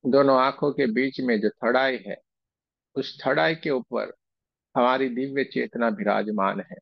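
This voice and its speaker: Male meditation guide, slow soothing repetition, gravelly low register, pauses between phrases, dull lo-fi close recording. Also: no room sound.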